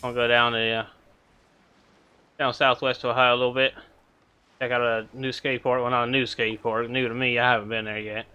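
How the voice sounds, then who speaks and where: A man's voice: mid-range voice, little pitch movement, normal speed, pauses often, sharp tone, smooth, lo-fi sound. A man casually chatting to viewers while streaming a game. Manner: laid-back, chatty.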